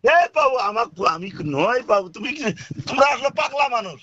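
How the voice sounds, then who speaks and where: A man's voice: extremely high pitch, animated, fairly quick, sharp tone, slight background noise. A man, a driver on a phone call, protesting and interrupting to be heard, with music behind. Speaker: driver receiving a prank call. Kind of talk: agitated phone argument.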